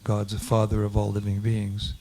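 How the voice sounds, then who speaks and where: A man's voice: lowish voice, monotone, moderate pace, mellow. A man in a class speaking casually, rambling and trailing off. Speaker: class participant.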